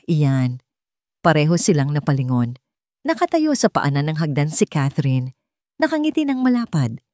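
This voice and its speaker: Lowish, animated woman storyteller. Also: no echo, clean studio sound. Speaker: narrator. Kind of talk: dramatic scripted story narration.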